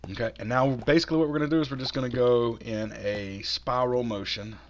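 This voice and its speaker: A man with a cold, hoarse and a little raspy, chatting casually while he builds in a video game and talks himself through each step. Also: medium pitch, fairly even intonation, a little fast, a little hiss or hum. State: hoarse from sinus infection. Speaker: gaming channel host.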